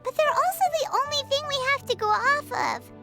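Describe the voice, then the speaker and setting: Perky and shrill, this tiny female sprite chirps along with constant, bubbly excitement. Her pitch sits extremely high and leaps about with lively swings. She speaks of herself by name like a small game mascot. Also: a little fast, music in the background. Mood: encouraging.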